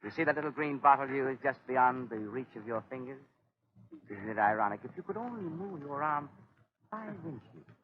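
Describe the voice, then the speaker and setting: A man acts out a mad inventor in a 1930s radio thriller. He speaks at a middle pitch with theatrical menace, savouring every cruel, mock-polite phrase. The recording is dull and slightly noisy. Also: lively intonation, moderate pace, lightly acted. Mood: gloating, sadistic. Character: gloating mad male inventor, cruelly mocking his cousin.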